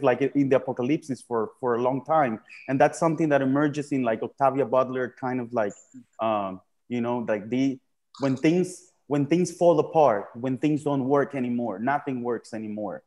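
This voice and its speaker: A man from Colombia talks on a video call from a small room, his voice sitting at an ordinary middle pitch. He thinks aloud, stopping and restarting often and leaning on fillers as he works toward each idea. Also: darkish tone. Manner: halting, many fillers and restarts. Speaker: panel guest. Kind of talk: reflective panel discussion over video call. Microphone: video call webcam.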